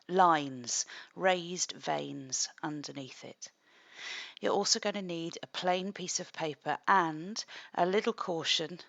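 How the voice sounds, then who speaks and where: Croaky-voiced woman hosting a craft tutorial, low and unhurried, dry close sound. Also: no echo. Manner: gentle, chatty, unhurried with fillers and asides. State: croaky morning voice. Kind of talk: calm step-by-step craft demonstration.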